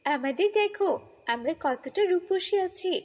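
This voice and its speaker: A woman voicing a kindly, beautiful fairy in a children's cartoon, earnest and gently admonishing. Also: very high voice, animated, unhurried, big-room sound, pitched above the performer's own voice.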